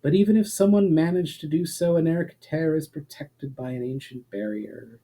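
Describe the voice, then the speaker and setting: A man voicing a composed, knowing elf woman in a storybook reading, measured and grave. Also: on the high side, mellow, pitched above the performer's own voice. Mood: calm, knowing. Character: composed elf woman, knowing, testing, faintly smug host.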